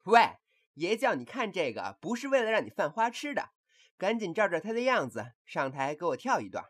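A woman's voice: fairly low pitch, very animated, a little fast, faint music behind. A woman playing a bossy, exasperated male brothel boss in a comic audio drama, commanding and mocking.

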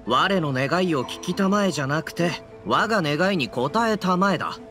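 A man's voice: fairly high pitch, expressive, normal speed, natural voice. A man playing a weary, sceptical, reproving book editor in an anime game. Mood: exasperated. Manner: exasperated, reproving, articulate. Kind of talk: Japanese anime game voice acting. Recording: background music under the voice.

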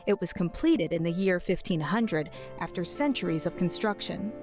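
A woman reading scripted documentary narration in a smooth, measured way. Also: lowish voice, animated, darkish tone, soft background music.

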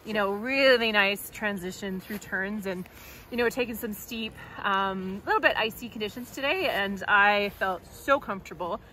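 A woman giving a relaxed, chatty ski review outdoors on a snowy mountain. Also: mid-range voice, moderate pace, a little hiss or hum. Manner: chatty, relaxed, says "like" a lot. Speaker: ski tester. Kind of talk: casual gear review.

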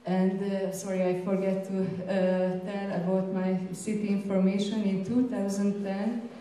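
In a very reverberant room, heard a little from the microphone, a woman with a Hungarian accent presents to a conference. She speaks slowly and hesitantly, pausing often as she searches for words. Her delivery stays fairly flat in pitch. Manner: halting, hesitant non-native English with frequent pauses. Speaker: gallery curator and presenter. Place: indoor presentation room, seated at a panel table. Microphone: handheld microphone through room sound. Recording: very echoey room, distant, faint noise.

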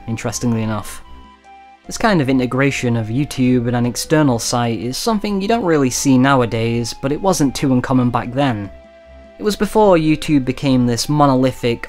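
A man reading a scripted video-essay voice-over at a middle pitch, wry and conversational, as if spinning a story for a friend. The delivery stays steady and plain, with faint music underneath. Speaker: documentary narrator.

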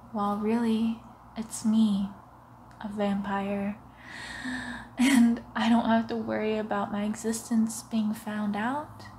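A young female vampire, acted out by a woman for an ASMR roleplay, murmurs extremely slowly and teasingly. Her breathy voice often drops to a whisper, menacing and close to the mic, with wet mouth sounds. A clear bed of room noise sits behind her. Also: medium pitch. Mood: smug, amused. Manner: slow, hushed, often slipping into whispers, teasing.

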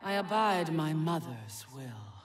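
An actor playing a solemn, imperious dark god in a video game, speaking formally and pompously. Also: male voice, on the high side, sing-song, slow, far from the mic. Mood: cold, imperious. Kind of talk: dramatic video game cutscene acting.

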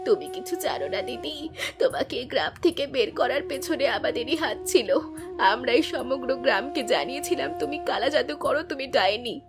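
Guilty village wife for a cartoon, super high plaintive voice, sad, music playing under it. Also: female voice, lively intonation, moderate pace. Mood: remorseful, pleading. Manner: plaintive, dramatic. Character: guilt-ridden village wife, sorrowful and repentant. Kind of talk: Bengali cartoon voice acting. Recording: music behind the voice.

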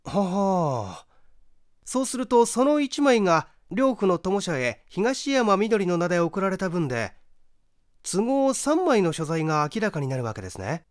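Man voicing an eager, probing amateur detective, lively pitch. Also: normal speed, no echo, studio quality. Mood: keenly interested. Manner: questioning, detective-like. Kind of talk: dramatic story reading.

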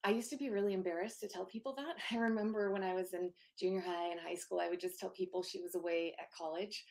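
A soft-spoken woman in her thirties, a guest telling a personal story over a video call from her home office. Her voice carries a gravelly fry, and she speaks openly and earnestly, pausing on fillers as she goes. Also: fairly low pitch, fairly even intonation. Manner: open and earnest, many fillers.

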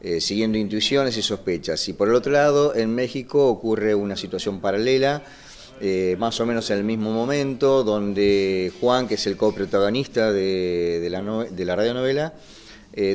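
Argentine male writer, 50s, interview talk, low pitch, winding halting sentences. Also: expressive, a little mellow. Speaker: radio drama scriptwriter. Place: small wood-panelled room indoors. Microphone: microphone just in front.

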